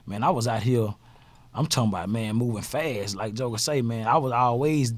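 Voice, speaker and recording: A man speaks in a relaxed, halting way at a middle pitch. He often circles back with filler phrases. His voice sounds dry and close to the mic. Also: no room sound. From Houston, Texas. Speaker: podcast guest, club owner. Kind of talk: casual conversation.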